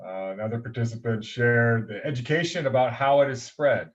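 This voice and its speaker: Male moderator, mid-pitched, reads chat aloud hesitantly; dull lo-fi call audio. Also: brisk. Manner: hesitant, with "uh" fillers. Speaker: webinar moderator. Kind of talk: reading chat responses aloud.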